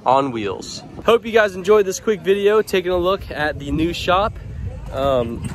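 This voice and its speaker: A man's voice: high voice, animated, unhurried. A young man casually walking viewers through a small shop, talking straight to them in an upbeat way. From Louisiana. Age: young adult. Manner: upbeat, addresses viewers directly. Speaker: vlogger. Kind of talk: casual walkthrough vlog. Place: outdoors by a waterside dock, then inside a small shop. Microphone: handheld camera held close.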